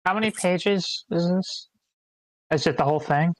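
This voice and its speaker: A woman's voice: fairly low pitch, normal speed, no echo. A woman chatting casually as a participant in an online table read. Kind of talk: casual chat.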